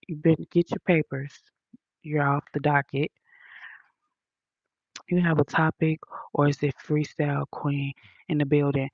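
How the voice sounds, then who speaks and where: A woman's voice: low voice, vocal fry, husky, dry recording, lo-fi sound. A woman with a Southern accent hosting a livestream, lecturing her chat in an indignant, emphatic way. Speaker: livestream host reading chat. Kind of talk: casual livestream rant.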